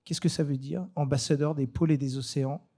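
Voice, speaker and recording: A man hosting an informal live panel, speaking in a hesitant, halting way. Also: a little high, steady tone, dark tone, slightly rough. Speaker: panel moderator.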